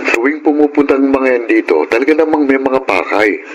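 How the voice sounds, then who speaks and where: An actor playing a calm young adult son and elder brother in a Filipino radio drama, talking matter-of-factly. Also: male voice, medium pitch, fairly quick, close to an everyday voice, rough recording. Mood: calm, wry.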